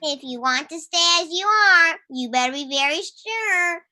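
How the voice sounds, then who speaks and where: A woman's voice: very high-pitched, expressive, a little slow, sharp tone, heavily acted. A woman voicing an uncanny, coaxing cat familiar in a live radio drama. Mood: warning.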